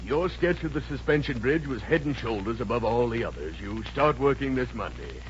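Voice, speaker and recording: An actor playing an irritable, hungover boss in a 1950s radio comedy, gruff and blustering. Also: male voice, mid-range voice, animated, moderate pace, lightly acted. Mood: weary. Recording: dull, noisy old radio recording.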